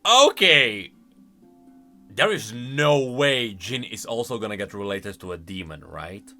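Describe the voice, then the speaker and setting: A man giving casual, opinionated reaction commentary as a gaming lore commentator, in an animated manner. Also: medium pitch, unhurried, faint music behind.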